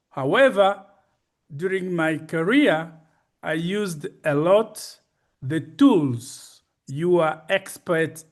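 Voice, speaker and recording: A man gives a personal conference presentation in a halting, deliberate, formal and courteous manner. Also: a little high. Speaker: conference speaker, retired ophthalmologist.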